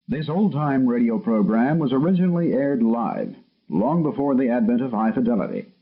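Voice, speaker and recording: A man reading a formal radio disclaimer in a measured tone, on a thin, old-sounding recording. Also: medium pitch, very animated, slightly rough, phone-quality sound. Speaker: announcer.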